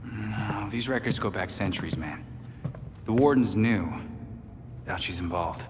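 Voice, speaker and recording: An actor voices a friendly young male teammate in a video game. He sounds informal and fairly low, with long reverb and clear noise around him. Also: measured pace, lightly acted. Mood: skeptical. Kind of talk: video game cutscene acting. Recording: noisy ambience, long hall reverb.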